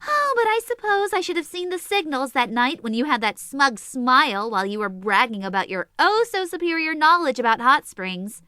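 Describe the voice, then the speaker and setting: Sharp, very high, close and dry: small teenage sorceress with a short fuse, sarcastic anime comedy teasing played by a woman. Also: very animated, moderate pace, few pauses, no room sound, heavily acted. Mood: sly, teasing. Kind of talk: comedic anime fan audio drama acting.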